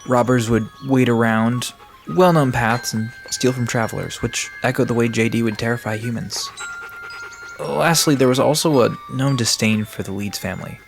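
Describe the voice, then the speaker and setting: A woman's voice: a bass voice, normal speed, vocal fry, faint music behind. A woman playing an easygoing, knowledgeable park guide in an audio drama, casual and reassuring as they explain things. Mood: explanatory.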